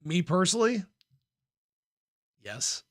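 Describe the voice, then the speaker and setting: A man hosting a casual livestream in an informal, playful way, with a slightly hoarse voice and frequent throat clearing. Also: sits high, big pitch swings, takes it slowly, no room sound, studio quality. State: slightly hoarse, losing his voice. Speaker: livestream host, crypto commentator. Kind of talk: casual livestream chat, reading and answering viewer comments.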